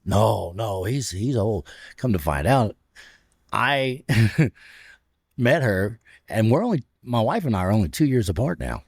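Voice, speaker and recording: A man in his fifties with a deep, raspy voice, a radio personality telling stories with laughs in a casual interview. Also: a little slow, long pauses, dry recording, clean studio sound. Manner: animated, laughs between stories. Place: stadium club room.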